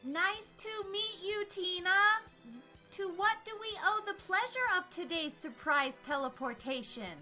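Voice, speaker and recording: Woman as high-voiced, plucky space heroine. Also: a little slow, sharp tone, soft background music, heavily acted. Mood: indignant, brash. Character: plucky, no-nonsense space heroine, loyal crewmate. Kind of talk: comic sci-fi radio play acting.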